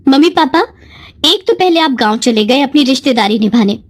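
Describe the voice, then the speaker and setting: Woman voicing a haughty, snapping young daughter, high-pitched. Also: animated, normal speed. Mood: angry, scornful. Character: spoiled, angry college-age daughter, defiant and scornful.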